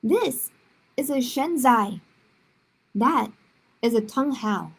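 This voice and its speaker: A woman voicing a patient, calmly explaining mother in a children's storybook. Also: on the high side, takes it slowly, vocal fry, slightly rough, full character voice. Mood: instructive. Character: patient, assured mother answering her small daughter.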